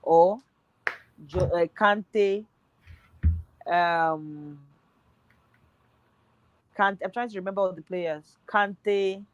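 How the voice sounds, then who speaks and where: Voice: mid-range voice, very slow, long pauses, highs cut off. A speaker talking football on a casual podcast, thinking aloud with lots of fillers, voice nearly worn out. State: voice tiring, nearly gone.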